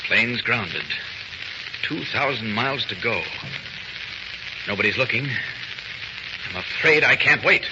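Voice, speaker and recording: An actor playing a courteous, quietly confident young would-be reporter in a vintage radio drama, speaking in a measured, persistent way. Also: male voice, medium pitch, lively intonation. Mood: easygoing. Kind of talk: 1940s radio drama acting. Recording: noisy, muffled, reverberant old radio recording.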